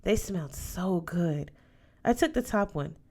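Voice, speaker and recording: A woman's voice: lowish voice, lively intonation, a little slow, darkish tone. A woman reading a first-person story aloud as scripted audiobook narration. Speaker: audiobook reader.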